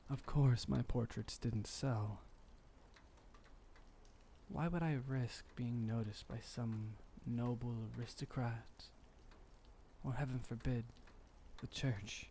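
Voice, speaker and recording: A man as a slow, gravelly vampire boyfriend. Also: mid-range voice, slight background noise. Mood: calm, reminiscing. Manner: soft-spoken, unhurried, formal wording, soothing. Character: gentle, centuries-old male vampire boyfriend, fond and refined. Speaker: ASMR roleplay voice actor. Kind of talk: intimate ASMR roleplay.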